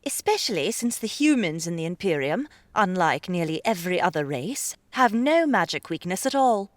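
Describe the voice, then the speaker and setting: A woman playing a loud, assertive, bossy elf mage in a fantasy audiobook. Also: fairly low pitch, sing-song, normal speed, no echo. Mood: matter-of-fact. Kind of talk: audiobook character acting.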